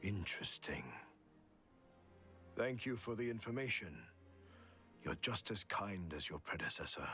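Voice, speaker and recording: An actor playing a calm, courteous old martial artist in a video game, speaking in a formal, measured way. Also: male voice, lowish voice, faint music behind. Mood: warm gratitude. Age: older. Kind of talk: scripted game voice acting.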